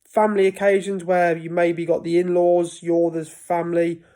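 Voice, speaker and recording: A man's voice: sits high, flat delivery, normal speed, warm tone. Man in his thirties who stutters. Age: 30s. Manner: stutters, repeats and restarts words. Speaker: vlogger. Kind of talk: casual talk to camera.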